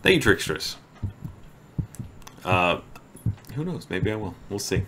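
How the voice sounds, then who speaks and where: A man streaming from home, chatting with viewers in a halting way that trails off into quiet mumbles, then reading a poem slowly. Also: low-pitched, very animated, noisy background. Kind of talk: casual chat with stream viewers; slow, deliberate poetry reading.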